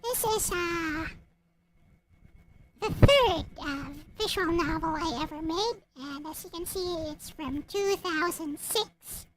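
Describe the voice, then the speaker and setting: A woman's voice: very high-pitched, takes it slowly, noisy background. A woman speaking in an informal, homemade way as she presents and reads a storybook-style visual novel. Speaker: visual novel creator and reader. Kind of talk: casual chat and storybook narration.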